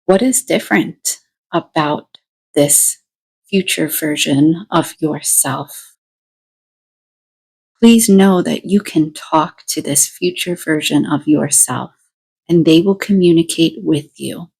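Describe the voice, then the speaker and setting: A woman leading a guided meditation, giving deliberate instructions in short phrases with pauses between them. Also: sits low, creaky, darkish tone, slightly rough.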